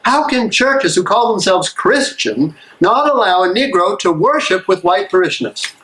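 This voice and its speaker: A man acting an earnest, weary American minister of the 1930s in a live dramatic reading, speaking emphatically and deliberately. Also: high voice, animated, a bit bright, low-quality recording. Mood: aggrieved, earnest. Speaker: actor in a dramatic play reading.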